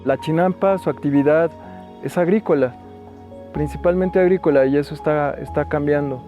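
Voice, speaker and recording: A man's voice: fairly high pitch, normal speed, mellow, soft background music. A man with a Mexico City accent, a farmer talking unscripted for a documentary. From Xochimilco, Mexico City. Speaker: chinampa farmer.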